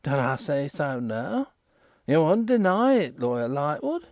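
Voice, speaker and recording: A man puts on a shifty waterside informer who whines and insists, his voice jumping high with indignation. The sound is dry and close. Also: sing-song, a little slow, a little mellow, no room sound. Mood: insistent. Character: shifty, whining riverside informer, middle-aged man.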